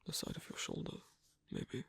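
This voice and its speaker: A performer voicing an asocial werewolf in an ASMR roleplay, hesitant and gently instructive, in a whispery, breathy, creaky voice. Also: normal speed, close to an everyday voice. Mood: shy, gentle. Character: asocial werewolf on a first date, patiently teaching sewing.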